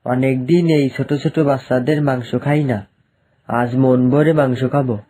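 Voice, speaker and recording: Man voicing a greedy, dark-toned hyena villain. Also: medium pitch, fairly even intonation, moderate pace, lightly acted. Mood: gloating. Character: greedy, bloodthirsty male hyena who hunts young animals. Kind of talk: children's cartoon dialogue.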